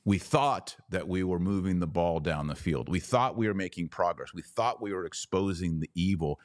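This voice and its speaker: A man with a very low voice delivers opinionated news commentary as a podcast host. He sounds indignant and emphatic, leaning on short, punchy phrases that he repeats. The sound is dry and close, with no room around it. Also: moderate pace, no echo.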